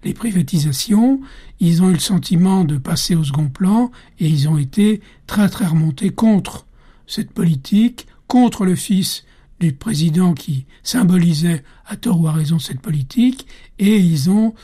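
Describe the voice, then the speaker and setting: A male guest expert in a radio interview, explaining at length in a measured way that is broken up by hesitations. His voice is mellow and soft-edged, with a husky texture. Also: high voice, animated.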